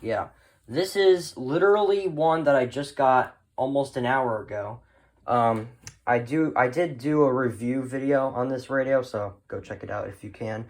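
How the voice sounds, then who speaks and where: A man's voice: mid-range voice, normal speed. Casual rambling man showing off his collection. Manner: rambling, trailing off. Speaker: hobbyist collector presenting a video. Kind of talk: casual show-and-tell chat.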